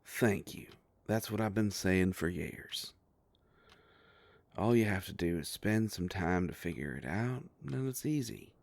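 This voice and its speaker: A man playing a sardonic, grumbling werewolf private investigator with a soft heart, in an audio roleplay drama. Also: lively intonation, unhurried, dry recording, exaggerated voice. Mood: friendly, easygoing. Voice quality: low gravelly voice.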